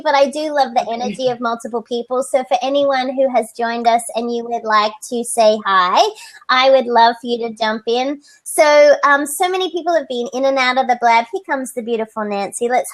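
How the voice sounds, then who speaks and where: Woman host, very high bright voice, upbeat chatty live stream, computer mic. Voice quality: high, light voice. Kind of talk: casual live video chat. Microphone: computer mic on video call.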